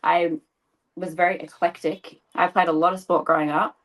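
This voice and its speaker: A woman's voice: lowish voice, level tone, moderate pace, dull audio. A woman in her thirties, a podcast guest chatting casually over a video call, laughing often and explaining things patiently. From Australia. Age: 30s. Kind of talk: casual interview chat.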